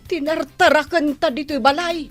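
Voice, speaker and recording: A woman's voice: high-pitched, steady tone, moderate pace, faint music behind, close to an everyday voice. A woman playing a disapproving, gossiping village woman in a Philippine radio comedy.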